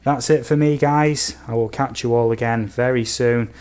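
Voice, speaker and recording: A man from the UK with a slightly croaky voice, chatting casually and easily to viewers as a livestream host. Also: mid-range voice, fairly even intonation, normal speed, darkish tone. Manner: easygoing, chatty, addresses viewers as buddy and guys. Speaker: game streamer, host.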